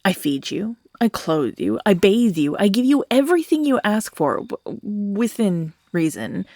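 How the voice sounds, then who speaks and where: A woman's voice: medium pitch, big pitch swings, a little slow, darkish tone, no room sound, clean studio sound. A woman playing an obsessive, manipulative kidnapper in an audio roleplay, condescending and exasperated, with sighs and half-whispers. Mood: hurt, pleading. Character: obsessive, manipulative female kidnapper scolding her captive lover. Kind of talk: scripted yandere horror audio roleplay, intimate monologue.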